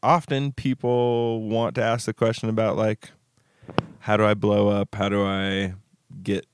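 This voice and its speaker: A man co-hosting a podcast, talking in a relaxed, wry way and thinking aloud. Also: lowish voice, a little slow, slight background noise. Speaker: podcast co-host. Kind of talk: casual chat.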